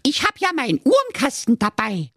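Young goat kid, high squeaky pitch, agitated, cheeky, slightly raspy, lively swoops, comic storybook. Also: male voice, brisk, no room sound, studio quality, full character voice. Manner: squeaky, agitated, foul-mouthed. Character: anxious, cheeky, squabbling little goat kids. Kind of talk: comedic fairy-tale storytelling.

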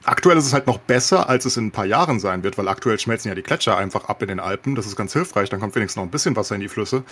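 A man with a lowish, rather husky voice chats casually as a podcast host. He speaks quickly and colloquially, leaning on sardonic understatement. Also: animated, fairly bright tone.

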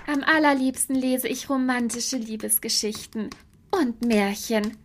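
A woman's voice: fairly high pitch, a little slow, a little hiss or hum, natural voice. A woman playing a dreamy, romance-struck young princess in a fairy-tale radio play, gushing and politely insistent.

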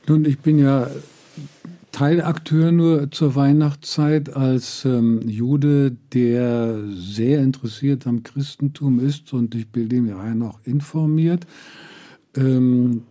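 An older man who grew up in Israel, answering interview questions in a slow, deliberate way with frequent hesitations. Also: mid-range voice, fairly even intonation, mellow. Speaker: guest.

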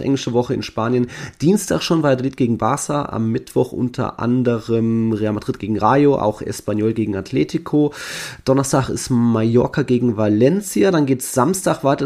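Mellow-voiced man talking football. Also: fairly low pitch, creaky. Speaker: football podcast co-host. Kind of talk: casual football podcast talk.

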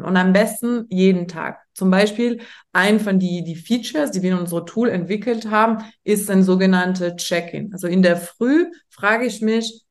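A woman from France with a French accent, a native French speaker, talking as a guest in a casual interview in a thoughtful, hesitant way with frequent fillers. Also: medium pitch. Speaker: guest, startup founder.

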